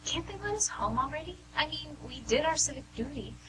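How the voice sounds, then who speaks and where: A woman's voice: sits high, animated, fairly quick. A woman playing a bored, whiny, defiant young juror in an amateur radio play, talking in sarcastic slang. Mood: bored, irritated. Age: young adult. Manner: complaining, sarcastic slangy talk. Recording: amateur radio, humming background noise.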